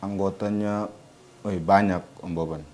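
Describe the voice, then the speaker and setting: A man's voice: deep voice, steady tone, measured pace, darkish tone. A young man from eastern Indonesia with an Alor accent, giving short, simple answers as a guest in a casual chat. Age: young adult. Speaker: interview guest, comedy content actor.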